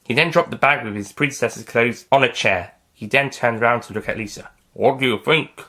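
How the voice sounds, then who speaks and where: A man's voice: medium pitch, fairly quick, bright, forceful tone. A man reading a scripted science-fiction adventure story as its author and narrator.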